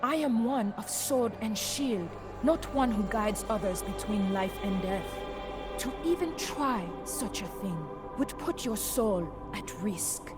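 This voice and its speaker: A woman voicing a devout, resolute warrior pilgrim in a fantasy game, with a measured, portentous and formal manner. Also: medium pitch, expressive. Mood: solemn, resolute. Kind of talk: fantasy video game acting. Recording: loud music under the voice.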